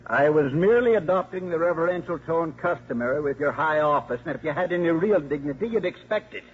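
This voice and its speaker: Gentle old priest, muffled radio. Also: male voice, a little high, animated, quick pace, slightly rough. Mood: deferential, sly. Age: 60s. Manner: hesitant, mild, wryly formal. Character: gentle elderly priest and college rector, drily humorous. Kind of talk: 1950s radio drama acting. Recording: muffled 1950s radio broadcast.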